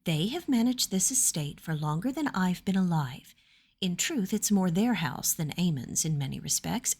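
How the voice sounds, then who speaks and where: A woman reads the part of a young noblewoman in a fantasy storybook. Her voice is soft and earnest, lifted a little in pitch, with a gentle, worried and principled temper. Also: slightly rough, exaggerated voice. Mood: firm, earnest.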